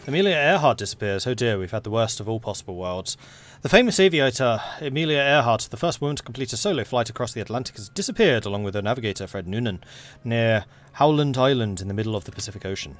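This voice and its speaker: A young man with an English accent, casually chatting with viewers and reading game events aloud as he plays. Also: lowish voice. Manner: relaxed, rambling, reads game events aloud. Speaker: streamer.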